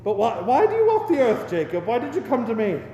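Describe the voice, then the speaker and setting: An actor in a radio play playing a crotchety, frightened old male miser, with an excitable, stammering, exclamatory delivery. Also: very high-pitched, brisk, lo-fi sound, a little hiss or hum, full character voice. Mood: terrified. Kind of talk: theatrical radio play acting. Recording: echoey hall reverb with music behind.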